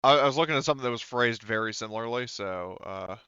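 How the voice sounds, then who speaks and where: A man's voice: mid-range voice, fast talker, pushed and bright, clean tone, no echo, muffled recording. A man running a tabletop game as game master, explaining rules in an informal, conversational way.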